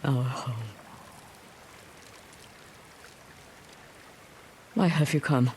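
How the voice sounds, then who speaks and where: An actress playing a proud, grieving old noblewoman warrior in a video game, grave, formal and deliberate. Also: very deep voice, darkish tone, slight background noise. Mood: cold, bitter. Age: older. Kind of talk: dramatic video game cutscene acting.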